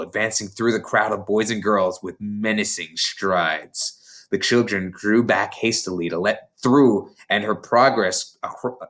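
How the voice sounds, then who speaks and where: A reader giving an expressive, dramatic read-aloud narration of a children's novel. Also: mid-range voice. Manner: dramatic, animated storytelling. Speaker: storybook reader.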